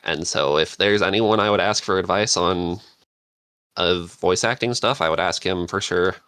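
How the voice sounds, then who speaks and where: A man giving casual advice as a podcast panelist, halting and thinking aloud as he goes. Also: low voice, a bit bright, clean tone, no room sound. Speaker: podcast panelist, script writer.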